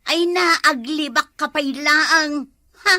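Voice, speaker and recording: A woman's voice: sits high, takes it slowly, sharp tone, no room sound, clean studio sound, full character voice. A woman playing a suspicious, nagging wife in a comedy drama, scolding and questioning her husband. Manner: scolding, interrogating.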